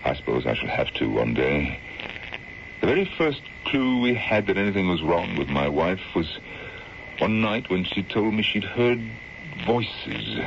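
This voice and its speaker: A man's voice: low-pitched, big pitch swings, a little slow, gravelly, full character voice. An actor playing an anxious, grieving middle-aged colonel and protective father, formal and troubled, in an old-time radio drama. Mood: grave, worried. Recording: dull, noisy old radio broadcast recording.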